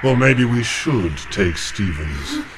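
A man's voice: animated, music in the background. An actor playing a cold, commanding male demon priest in a horror radio play, taunting and menacing. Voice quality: deep, slow and menacing. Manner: taunting, deliberate.

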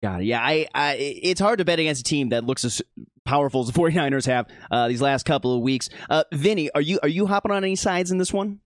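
A man's voice: on the high side, fast, dry recording, clean studio sound. A man hosting a sports betting show, chatting casually with informal, slangy banter. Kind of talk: casual panel chat, introducing co-hosts.

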